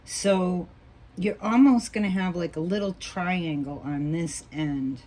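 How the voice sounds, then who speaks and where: A woman teaching a quilting block as she sews, patient and thinking aloud, a little hoarse as she loses her voice. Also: on the low side, slow pace, mellow. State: losing her voice, coughing. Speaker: quilting instructor. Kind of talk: relaxed hands-on sewing tutorial.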